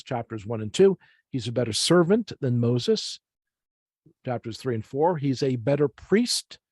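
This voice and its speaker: Bright-voiced American male Bible teacher. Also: mid-range voice, dry recording. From United States. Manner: deliberate, explanatory, repeats key phrases for emphasis. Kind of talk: informal teaching lecture with slides.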